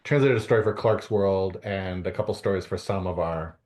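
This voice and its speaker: A man's voice: low voice, normal speed, darkish tone. A middle-aged man from Canada answering interview questions, with many fillers and restarts. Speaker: guest author.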